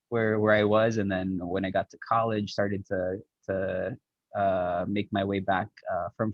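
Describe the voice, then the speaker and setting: A man from the Philippines and the US Midwest, telling his story casually and haltingly as a guest on a video call, with lots of filler "like". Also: lowish voice, no echo, dull audio. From born in the Philippines, raised there and in the US Midwest. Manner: halting, peppered with "like". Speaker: startup founder, panel guest.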